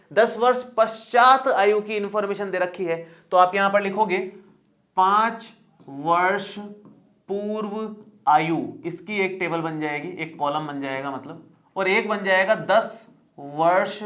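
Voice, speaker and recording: A man's voice: high-pitched, fairly bright tone. A male math teacher explaining a lesson step by step in a chatty, reassuring way, often repeating points and checking in with the viewer.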